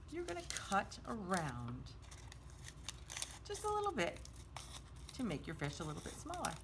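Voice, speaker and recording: A woman's voice: medium pitch, background noise. A woman hosting a children's storytime craft, explaining each step in simple, sing-song, child-directed language.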